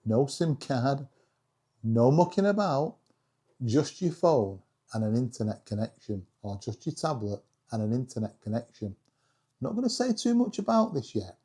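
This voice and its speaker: A British man with a husky voice giving a casual, chatty hobby review with self-deprecating humour. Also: mid-range voice, dark tone. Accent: British English. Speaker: video creator reviewing radios.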